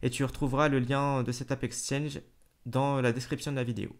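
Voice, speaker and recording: A man hosting a screen-recorded software tutorial, talking casually to the viewer with fillers and self-corrections. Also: medium pitch, level tone, fairly quick. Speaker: tutorial host.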